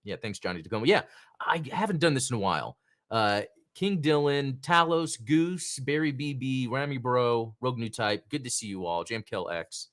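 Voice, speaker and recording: A man hosts a relaxed live stream and talks in loose, rambling sentences. He drifts from one thought to the next, then stops to read out names from the chat. His voice sits at an ordinary middle pitch. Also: moderate pace. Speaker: podcast host.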